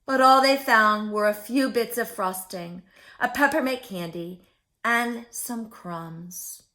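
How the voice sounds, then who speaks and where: A woman's voice: a little high, takes it slowly, bright, forceful tone. A woman reading a picture book aloud to young children as a story-time host, theatrical and often putting questions to her listeners.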